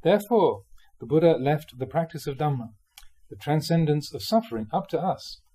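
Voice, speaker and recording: A man reading Buddhist teachings aloud like a monk giving a dharma talk, with measured, emphatic phrasing. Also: on the high side, lively intonation, warm tone, husky.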